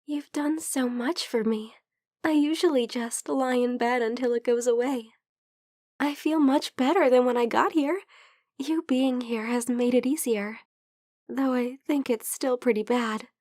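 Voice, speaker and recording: Woman as gentle sick vampire friend for ASMR roleplay: high, soft voice, slow delivery, teasing yet hesitant. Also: steady tone, no room sound, studio quality. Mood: grateful, weary.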